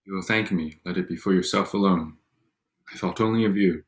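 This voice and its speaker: A man playing a proud, reserved Regency suitor in a staged reading, formal, restrained and a little halting. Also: low-pitched, lightly acted. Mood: earnest. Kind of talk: staged reading of a period drama.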